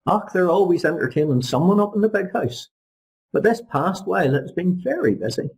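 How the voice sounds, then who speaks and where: A man voicing a chatty, good-humoured small-boat fisherman in an audiobook, with folksy, colloquial turns and a gravelly voice. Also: high voice, animated, normal speed, darkish tone, voice pushed up, full character voice. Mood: confiding.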